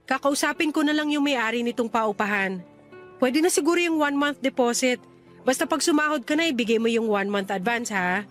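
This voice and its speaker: A woman's voice: sits high, fairly quick, faint music behind, close to an everyday voice. An actress playing a kind but guarded boarding-house manager in her thirties in a Filipino radio drama, with a teasing, reassuring manner. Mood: helpful, curious.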